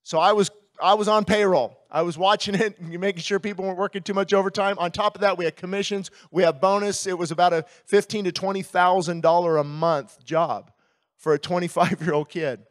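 An American man in his fifties, a pastor giving a candid, conversational testimony with pauses and repeated words into a handheld microphone. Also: sits high, fairly even intonation. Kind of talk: sermon with personal testimony. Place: outdoor stage at a baseball stadium in the evening.

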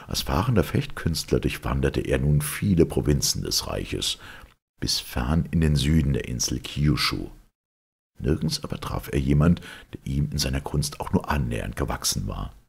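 A man with an extremely low voice narrates a scripted tale in a formal, measured way. The sound is heavily husky and gravelly, and the pitch moves expressively as he tells the story. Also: very animated. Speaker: narrator reading a legend aloud.

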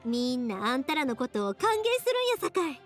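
An actress voicing a cheerful, warm-hearted young fireworks maker in an anime game, speaking with a lively Kansai accent. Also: female voice, high voice, a little fast. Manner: lively, friendly, expressive. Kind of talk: Japanese anime game voice acting. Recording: game dialogue over music.

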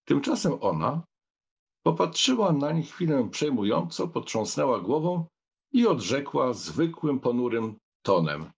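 A man narrating a literary audiobook in a dramatic style, with a husky voice. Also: mid-range voice, big pitch swings, unhurried.

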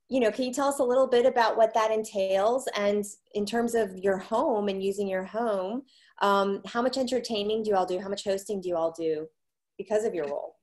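A woman hosting a live virtual tour, asking the homeowners polite, measured questions over a video call. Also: mid-range voice.

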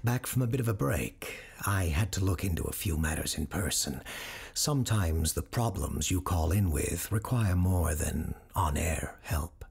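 A man hosting a scripted horror radio drama as a parapsychologist, with a hushed, grave, deliberate delivery. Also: sits low, animated, creaky, husky. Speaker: radio show host.

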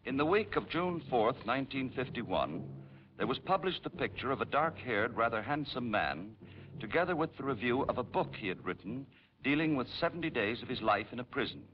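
A middle-aged man narrates like a 1950s television presenter. His formal, dramatic delivery moves widely in pitch while staying measured. The sound is a muffled old film track with faint hiss. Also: big pitch swings.

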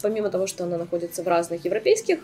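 A woman in her thirties from Kyiv, a salon owner, speaking as a guest in a casual interview. She tells her story with animated rises and plenty of filler sounds, recorded on a desk mic in a small sofa studio. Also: lowish voice, racing. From Kyiv, Ukraine. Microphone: desk microphone.

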